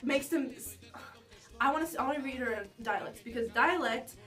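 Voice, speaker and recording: A woman's voice: sits high, moderate pace, a bit bright, far from the mic, soft background music. A woman from New York answering interview questions casually, hesitant, restarting her sentences and saying "like" often.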